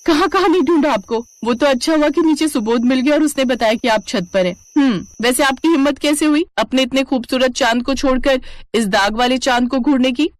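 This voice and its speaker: Woman acting a sweet, plucky young daughter-in-law, high-pitched. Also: brisk, no echo, close to an everyday voice. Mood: playful, tender. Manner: pleading yet cheeky. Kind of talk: Hindi cartoon family drama acting.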